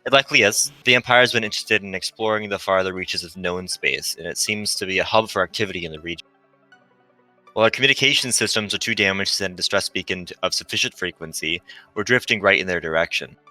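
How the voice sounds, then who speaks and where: A man voicing a gentle, earnest female android ship pilot in formal, precise speech for a visual novel read-aloud. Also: low-pitched, fast, soft background music, rough recording. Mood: businesslike.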